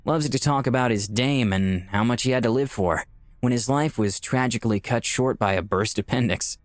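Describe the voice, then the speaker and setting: A man's voice: mid-range voice, moderate pace, dry recording, soft background music, natural voice. A man playing a skeptical, dryly sardonic dead man in Hell, narrating a visual novel in a wry, conversational way.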